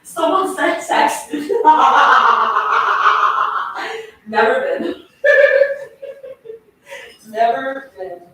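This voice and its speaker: A woman's voice: very high voice, very animated, very slow, creaky, pauses often, bright, forceful tone, recorded from a distance. A young woman from Turkey chatting with her live stream audience while she cooks, giggly and talkative, speaking to the food as she goes. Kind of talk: casual live stream chat while cooking. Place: home kitchen. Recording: echoey room, mic set back.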